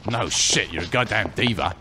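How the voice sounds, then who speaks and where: An actor playing a tough, dry-humoured gang boss in a video game cutscene, speaking bluntly with plenty of swearing. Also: male voice, fairly low pitch, lively intonation, moderate pace, long reverb tail, noisy background, lightly acted. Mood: matter-of-fact.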